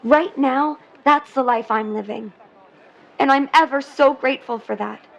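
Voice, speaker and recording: Actress as a young mermaid sweetheart, high voice, earnest, wistful, 1950s radio drama, slightly muffled sound. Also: female voice, measured pace, vocal fry. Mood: hopeful, earnest. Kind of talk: 1950s period audio drama acting.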